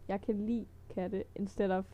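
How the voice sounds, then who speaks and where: A woman's voice: medium pitch, flat delivery, moderate pace, dark tone, lo-fi sound. A woman from Denmark with a Danish accent, teaching words in a casual, hesitant vlog with many fillers and small laughs. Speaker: language teacher vlogger.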